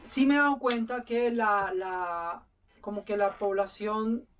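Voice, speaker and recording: A talkative man chatting through a relaxed interview, rambling from point to point and plugging his own work. He sits a little back from the microphone, so his voice sounds slightly distant. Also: high-pitched, measured pace, crowd noise. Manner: chatty, rambling, self-promoting. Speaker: sailing YouTuber guest. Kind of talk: casual interview chat.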